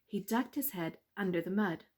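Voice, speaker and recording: A woman narrating a children's bedtime story in a measured, expressive read-aloud manner. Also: medium pitch, breathy edge.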